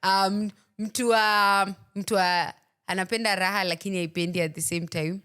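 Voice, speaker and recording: A woman's voice: mid-range voice, steady tone, normal speed, a bit bright, smooth. A woman with a Kenyan accent co-hosting a casual football podcast, playful and giggly, mixing English with Swahili slang. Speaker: podcast co-host.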